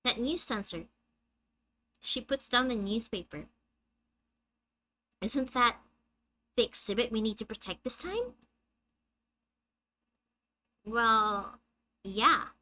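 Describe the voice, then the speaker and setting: A young woman with a high-pitched, squeaky voice, chatting with her viewers on a live game stream and reading the story text aloud. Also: animated, slow, long pauses, pushed and bright. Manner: chatty, reads dialogue aloud and answers chat.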